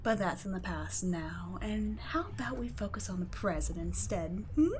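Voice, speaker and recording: A woman's voice: mid-range voice, expressive, moderate pace, a little hiss or hum, natural voice. A woman voicing a cool, condescending female vampire scientist in an ASMR audio roleplay, speaking in a teasing, patronizing way and slipping into whispers. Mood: mocking. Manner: teasing, patronizing, soft-spoken with whispered asides.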